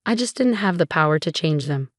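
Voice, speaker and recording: A woman's voice: deep voice, animated, brisk, dry recording, clean studio sound. A woman voicing an earnest male former HR director in her plain reading voice.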